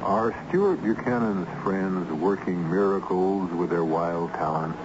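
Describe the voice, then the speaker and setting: A man hosting a radio drama with ominous, deliberate, theatrical scripted narration. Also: deep voice, expressive, very noisy. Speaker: host. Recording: muffled old radio broadcast. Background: music.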